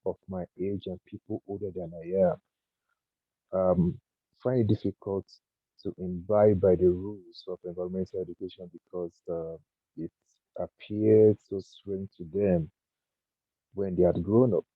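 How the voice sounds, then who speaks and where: A man in his thirties speaks earnestly, with a croaky voice and many hesitant pauses. His voice sits very low. The call sounds thin and tinny, like a phone line with no room around it. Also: fairly even intonation, no echo. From Nigeria. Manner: earnest, thoughtful, halting with fillers. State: croaky, just woken early. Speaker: guest speaker. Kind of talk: online panel talk, personal story. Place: home, early morning.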